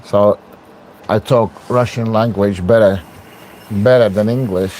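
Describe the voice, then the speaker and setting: A man's voice: lowish voice, steady tone, measured pace, darkish tone, slight background noise, low-quality recording. A man from Latvia, an arm wrestler talking casually to viewers in broken English while he trains. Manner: broken English, chatty, talks through exertion.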